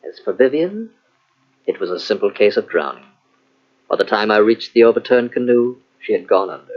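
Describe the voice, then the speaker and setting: A man's voice: on the low side, expressive, moderate pace. A man playing a scheming, coaxing young fortune hunter in an old radio drama. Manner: persuasive, coaxing endearments. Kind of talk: 1940s radio acting. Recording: dull, lo-fi old radio cassette.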